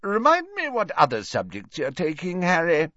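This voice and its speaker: A man voicing a jovial, tipsy older male professor in an audiobook, booming and effusive. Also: wildly swooping pitch, normal speed, sharp tone, dry recording, highs cut off, heavily acted.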